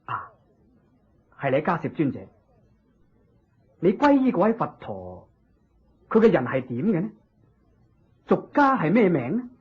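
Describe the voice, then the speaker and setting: A hearty, gracious king from a Buddhist radio drama, speaking with a Hong Kong Cantonese accent. His voice is rough and fairly high, full of questioning exclamations. The recording is dull and lo-fi. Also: a little slow, pauses often, full character voice. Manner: expressive, inquisitive, exclamatory. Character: hearty, curious, gracious king of ancient India.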